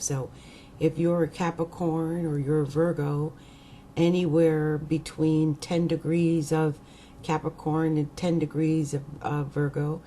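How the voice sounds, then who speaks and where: Woman, croaky, low mellow voice, slow rambling talk, faint room hum. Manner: rambling, hesitant, many fillers. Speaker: astrologer. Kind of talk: casual rambling vlog.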